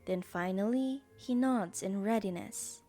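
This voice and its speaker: A woman's voice: mid-range voice, unhurried, mellow, soft background music. A woman narrating an audio drama in a scripted storybook style.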